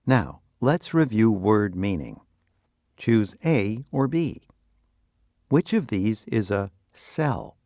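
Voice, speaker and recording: A man presenting scripted educational quiz questions and answers with deliberate, instructional phrasing. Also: on the low side, sing-song, warm tone, no room sound, clean studio sound.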